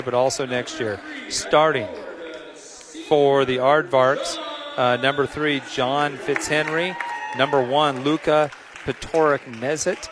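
A middle-aged American man, slightly hoarse, calling a pregame basketball broadcast in a rambling way, full of "uh" fillers and restarts. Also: mid-range voice. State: a little hoarse. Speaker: play-by-play announcer. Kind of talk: pregame sports broadcast commentary. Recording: music in the background.

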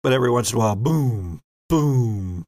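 A man running a tabletop role-playing game as game master, improvising vivid descriptive narration with thoughtful pauses. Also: mid-range voice, animated, darkish tone, dry recording, studio quality.

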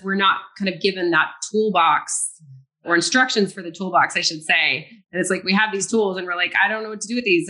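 A woman who grew up in France, with French as her first language, answers questions as an interview guest. Her voice is bright and forward, and she sounds earnest and reflective. She laughs easily as she talks. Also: mid-range voice. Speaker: guest, psychic. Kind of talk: casual interview conversation.

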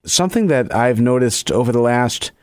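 A man's voice: medium pitch, expressive, moderate pace, darkish tone, no echo, clean studio sound. A man hosting a conversational radio interview with a musician. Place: radio studio.

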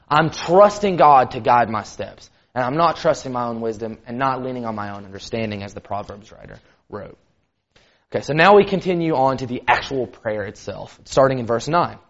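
A man giving a sermon in a loud, carrying voice, casual and conversational with lots of "kind of". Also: medium pitch. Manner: loud voice that carries; conversational, many fillers like "kind of". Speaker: preacher. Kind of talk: sermon teaching. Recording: dull lo-fi recording.

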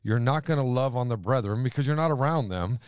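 Smooth-voiced man discussing scripture. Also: mid-range voice, expressive, a little fast, dry recording, clean studio sound. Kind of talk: casual religious discussion, citing scripture.

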